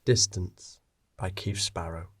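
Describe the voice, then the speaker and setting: A man narrating a scripted story expressively, with dramatic pauses. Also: sits low, fairly even intonation, fairly bright tone, husky.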